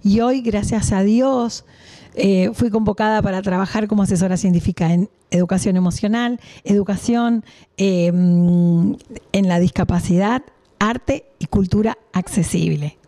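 Warm-toned earnest woman. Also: medium pitch, a little slow. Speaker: scientific adviser. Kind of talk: presenting to camera. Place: studio living-room set. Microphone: handheld microphone.

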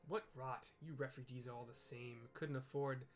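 A man's voice: medium pitch, steady tone, moderate pace, faint music behind, lightly acted. A man voicing a haughty, angry merchant from a game, scornful and accusing.

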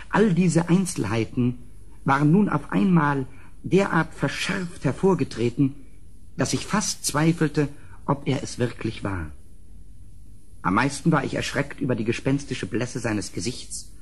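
An actor playing an uneasy gentleman who recounts a gothic horror tale in measured, dramatic literary phrasing for a German radio play. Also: medium pitch, slightly rough. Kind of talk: scripted radio play narration.

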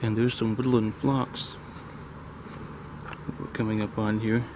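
A middle-aged man casually narrating a hike on a wooded lakeside trail in a relaxed, admiring way, recorded on a handheld camera. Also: on the low side, slow. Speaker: hiking vlogger. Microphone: handheld camera mic, speaker off camera. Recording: faint outdoor noise.

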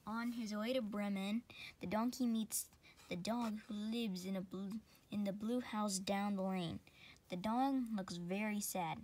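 Woman reading a children's storybook, haltingly. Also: mid-range voice, a little mellow.

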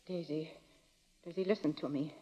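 A woman's voice: deep voice, steady tone, normal speed, warm tone, muffled recording. An actress playing a nervous, deferential middle-aged landlady who speaks hesitantly, in 1940s radio style. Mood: urgent, worried.

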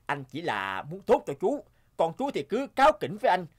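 A man's voice: high-pitched, huge pitch swings, moderate pace, exaggerated voice. A man voicing a cocky, boastful young gambler in a cartoon, swaggering and scornful as a bossy older brother. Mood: scolding, boastful. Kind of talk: animated moral tale dialogue.

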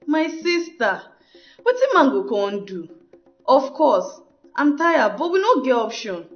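A woman's voice: sits high, big pitch swings, unhurried, heavily acted. A woman with a Nigerian accent playing a young village woman in a Pidgin radio drama. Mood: weary, complaining. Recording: muffled, roomy, music behind.

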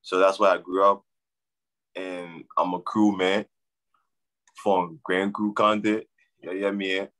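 A young man from Liberia with a Liberian accent, talking casually as a guest on a video call, halting and often repeating his words. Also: sits low, flat delivery, fairly bright tone, low-quality recording. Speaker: guest, soccer player. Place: room at home. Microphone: webcam on video call.

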